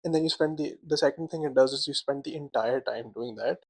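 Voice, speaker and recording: A young man co-hosting a casual podcast chat, animated, laughing often and filling his talk with "like" and "right?". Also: medium pitch, fairly quick, breathy edge, no room sound. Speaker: podcast co-host.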